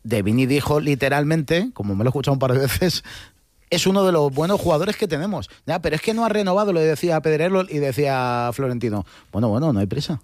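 A man's voice: fairly high pitch, expressive, fast talker, no room sound. Casual male sports host, dry sound. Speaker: radio sports show host.

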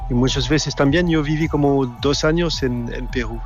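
Swiss guy, stumbling over words a lot, muffled lo-fi call audio, faint music under him. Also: medium pitch. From Switzerland. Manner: halting non-native speech with many restarts. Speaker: guest filmmaker. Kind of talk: remote interview.

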